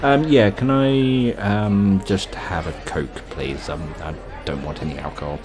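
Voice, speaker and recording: A man playing a hesitant, bemused dinner date who speaks politely and haltingly in an improvised comedy radio play. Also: low-pitched, fairly even intonation, darkish tone, soft background music.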